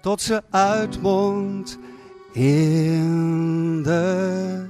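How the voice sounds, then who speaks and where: A woman's voice: on the low side, steady tone, extremely slow. A woman performing lyrical verse as a poetic recitation set to music. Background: loud plucked guitar.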